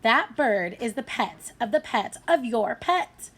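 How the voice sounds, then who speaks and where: A woman voicing an exasperated mother in a storybook, stern and growing louder with each protest. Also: a little high, lively intonation, takes it slowly, breathy edge, heavily acted. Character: exasperated mother putting her foot down.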